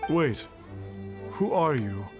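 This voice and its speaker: Casting: grandiose fake holy man, slow, drawn-out, pitch swooping, faint music behind. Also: big pitch swings. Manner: grandiose archaic speech, speaks of himself as "one". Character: pompous masked fake adeptus, man, self-important. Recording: game audio with faint music.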